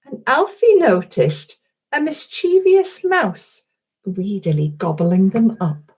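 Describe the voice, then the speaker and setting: A woman's voice: a little high, big pitch swings, slow pace, a little mellow, husky. A woman reading a children's story aloud as its author, chatting expressively and speaking directly to her young listeners.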